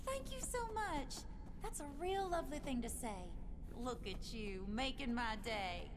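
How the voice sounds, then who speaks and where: A woman playing a sweet, hopeful young cattle hand in a video game, with a soft, folksy, earnest manner. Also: high voice, expressive, measured pace. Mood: friendly, grateful. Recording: loud vehicle and ambient game noise.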